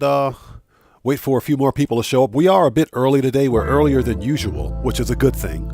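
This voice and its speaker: Stuffy-nosed male streamer chatting with viewers. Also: medium pitch. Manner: friendly, greets chat by name, calls viewers brother. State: stuffy and nasal from a cold. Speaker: gaming live streamer. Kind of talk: casual live-stream chat with viewers. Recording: music playing under the voice. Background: background music.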